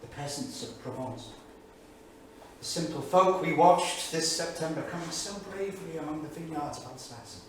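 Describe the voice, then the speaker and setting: An actor playing a brooding English intellectual of the 1930s in a staged reading, speaking in a grave, rhetorical manner. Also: male voice, a little high, expressive, moderate pace, close to an everyday voice. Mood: solemn. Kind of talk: staged reading of a dramatic monologue. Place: small theatre studio. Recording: distant, echoey theatre recording with noise.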